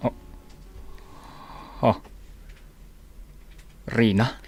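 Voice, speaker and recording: An actor with an Ilocano accent playing a bitter husband whose wife abandoned him and their baby, in a radio drama. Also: male voice, very slow, faint music behind. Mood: resentful. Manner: resentful, cold.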